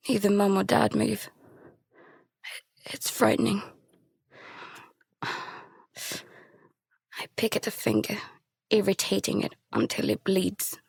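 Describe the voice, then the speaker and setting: Breathy, frightened youngster, creaky voice. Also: female voice, mid-range voice, no echo, full character voice. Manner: halting, stammering, breaking into whispers. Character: frightened, guilt-ridden youngster narrating a lockdown at sea. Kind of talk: first-person audio drama narration with dialogue.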